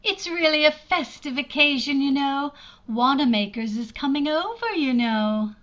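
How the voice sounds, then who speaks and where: A woman's voice: high voice, animated, normal speed, fairly bright tone, lo-fi sound. A woman playing a haughty, snobbish society matron in an old-time radio drama, genteel and condescending. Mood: genteel, gushing.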